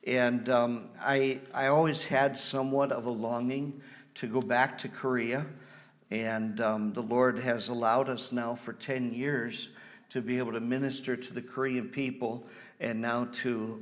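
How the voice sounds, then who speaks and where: Man, 50s, Wisconsin accent, missionary speaking in church, folksy and halting. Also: medium pitch. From Wisconsin, USA. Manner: halting, folksy, frequent ums. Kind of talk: church presentation.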